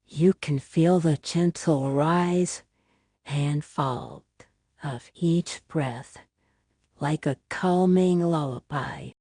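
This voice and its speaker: A woman playing a gentle, doting mother who soothes her small baby in a soft, tender, cooing ASMR bedtime roleplay. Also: low voice, slightly rough, dry recording, clean studio sound, heavily acted. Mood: sleepy, soothing. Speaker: ASMR audio roleplay performer.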